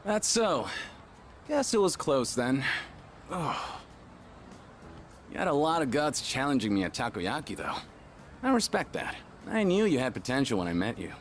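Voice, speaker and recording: Brash, lively young man. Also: mid-range voice, normal speed, long pauses, faint music behind. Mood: respectful. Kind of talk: video game voice acting.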